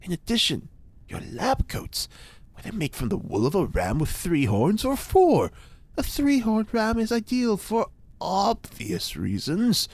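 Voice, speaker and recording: A man voicing an eccentric occult scientist who lectures with grand, theatrical self-importance. His pitch leaps and dives all over the place, and his tone carries a slightly husky edge. It fits an audio fiction drama. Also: huge pitch swings, measured pace, a little mellow, dry recording, full character voice. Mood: fussy. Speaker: reporter's informant.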